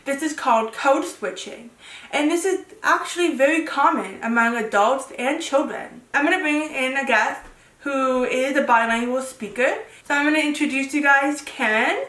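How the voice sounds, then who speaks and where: A woman's voice: high-pitched, moderate pace, fairly bright tone. A young woman presenting and interviewing informally as a student, in a conversational, checking-in way. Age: young adult. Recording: echoey room, distant microphone.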